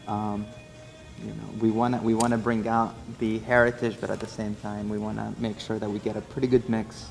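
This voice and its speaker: A man's voice: fairly low pitch, little pitch movement, a little fast, creaky, a little mellow. A young man from Syria, engaged, chatting casually at a table in a hotel room and laughing lightly now and then. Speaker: groom-to-be interviewee. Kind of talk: casual chat. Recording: music playing under the voice.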